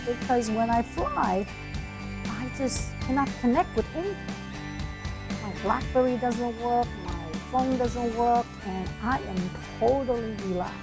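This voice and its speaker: A woman speaking in a relaxed, conversational way in a documentary interview. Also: a little high, a little slow. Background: guitar music.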